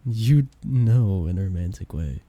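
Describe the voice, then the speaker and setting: Man acting as a dark, husky, lovestruck best friend. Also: on the low side, expressive, no room sound. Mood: tender, earnest. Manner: hesitant, halting, tender. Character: shy young man confessing love to his best friend. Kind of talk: intimate ASMR romance roleplay.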